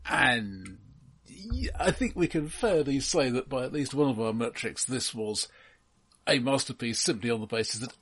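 A man co-hosting a casual film discussion in a measured, analytical and dry manner. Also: mid-range voice, animated, a bit bright, slightly rough. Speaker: podcast co-host.

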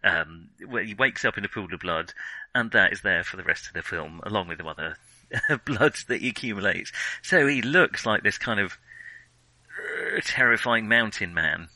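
Man, lowish pitch, very bright sharp tone, casual film chat, hesitant phrasing, podcast co-host. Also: animated, dry recording, poor audio. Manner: hesitant, thinking aloud, pausing often mid-sentence.

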